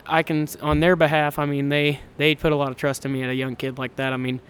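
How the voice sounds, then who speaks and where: Twenty-something kansas guy, ranch owner, rambly and easygoing, kinda high smooth voice. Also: level tone, quick pace, a bit bright. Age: 20s. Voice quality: high-pitched voice. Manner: chatty, self-deprecating, rambling with restarts. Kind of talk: casual podcast interview.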